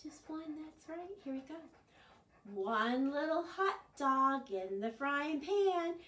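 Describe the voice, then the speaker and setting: A woman in her sixties presenting a children's storytime, expressive and often prompting the children with questions. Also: sits high, slow pace. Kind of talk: children's storytime, singsong chanting and read-aloud. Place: small furnished living room, indoors. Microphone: camera a few metres away. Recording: roomy, distant camera microphone.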